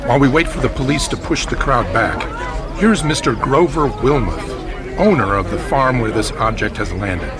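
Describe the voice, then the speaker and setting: A man playing an earnest, formal radio news reporter at a crash site, haltingly describing things in old-time live broadcast style. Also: medium pitch, animated, a crowd in the background, lightly acted. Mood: polite, impatient.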